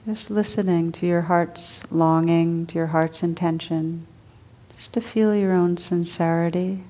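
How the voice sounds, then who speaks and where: A woman teaching a guided meditation in a gentle, soothing, unhurried manner with long pauses between phrases. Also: lowish voice, fairly even intonation.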